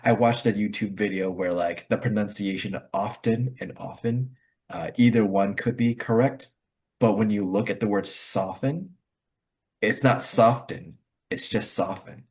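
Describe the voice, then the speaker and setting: A man's voice: on the low side, a little slow, a little mellow. Man with a Connecticut accent, rambling. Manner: rambling with many fillers and restarts, laughs easily. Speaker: club host. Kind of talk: casual conversation practice.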